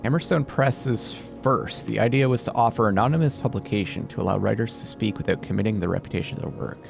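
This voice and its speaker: A man's voice: on the low side, fast, creaky. A man hosting a let's play, expressively thinking aloud and reading game text. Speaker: gaming video host. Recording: game music behind voice.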